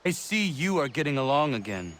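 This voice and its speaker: An actor playing an earnest young frontier assassin, measured and plain-spoken, in a video game. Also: male voice, medium pitch, big pitch swings, fairly bright tone, slight background noise. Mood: calm. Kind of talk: video game cutscene acting.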